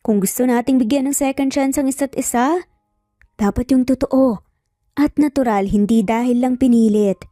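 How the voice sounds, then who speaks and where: Story role, done by a woman: composed dad, earnest and measured, soft-edged delivery. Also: fairly high pitch, dry recording, clean studio sound, soft background music, close to an everyday voice. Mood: earnest, patient. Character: composed, reflective father, serious and patient. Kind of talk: dramatized storytelling.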